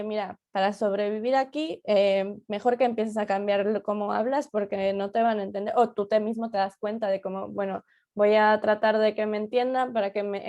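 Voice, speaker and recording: A woman's voice: medium pitch, warm tone. A woman from Ecuador, hosting a conversational interview. She speaks in an affirming, empathetic way and often adds short words of agreement. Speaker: host.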